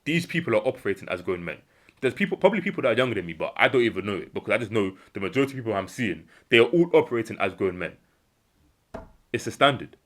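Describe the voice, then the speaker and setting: A man's voice: very animated, racing. A young man with a British accent giving an earnest motivational monologue, repeating key points for emphasis and acting out little imagined exchanges. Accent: British English. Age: young adult. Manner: acts out imagined dialogue in a lower voice. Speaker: self-improvement vlogger.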